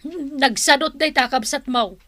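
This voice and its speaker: A woman's voice: sits high, measured pace, pushed and bright, dry recording. An actress playing an older mother and grumbling wife in a radio drama, resigned and scolding. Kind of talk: Ilocano radio drama acting.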